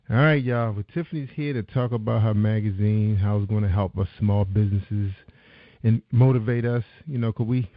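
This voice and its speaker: A man hosting a talk show in a folksy, encouraging way. His voice sits on the lower side. The sound is dry and a little dull, with no room around it. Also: dry recording. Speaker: radio talk show host. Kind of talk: casual interview chat.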